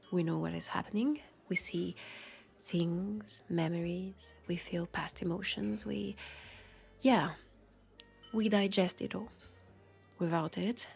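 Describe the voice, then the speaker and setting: A woman with a French accent playing a wary, grieving, centuries-old vampire detective in an intimate audio roleplay, speaking softly and pensively with pauses. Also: fairly low pitch, exaggerated voice. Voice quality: breathy, soft. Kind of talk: intimate scripted audio roleplay, addressed to listener. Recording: music under the voice. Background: music.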